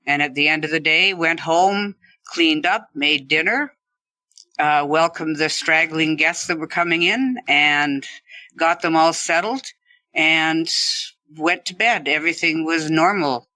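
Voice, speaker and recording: A woman's voice: sits low, steady tone, measured pace, bright, forceful tone, no echo. A middle-aged woman, telling her own story conversationally as an interview guest. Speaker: podcast guest, former ski instructor.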